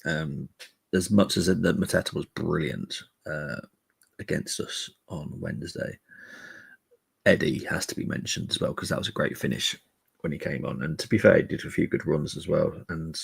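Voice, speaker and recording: A middle-aged man hosting a live football preview stream, speaking quietly while chatting casually with viewers. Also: a bass voice, moderate pace, no echo. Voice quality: gravelly. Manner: keeping voice down, casual stats chat with viewers. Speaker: fan channel host.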